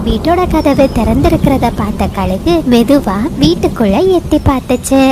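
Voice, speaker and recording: A woman's voice: high voice, animated, normal speed. A woman narrating a scripted cartoon story for children. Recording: noisy track with outdoor ambience.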